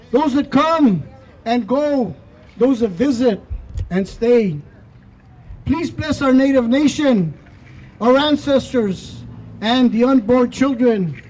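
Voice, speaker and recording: A man leading a solemn outdoor prayer in a slow, measured way, speaking into a handheld mic. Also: very high-pitched, darkish tone, rough recording. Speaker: prayer leader. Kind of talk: spoken prayer. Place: outdoors in a park.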